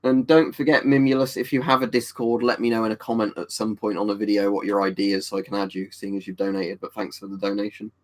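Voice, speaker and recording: A man's voice: lowish voice, flat delivery, fast, few pauses, darkish tone. A man, casually chatting and answering viewers on a livestream. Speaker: livestream host reading chat. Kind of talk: casual chat.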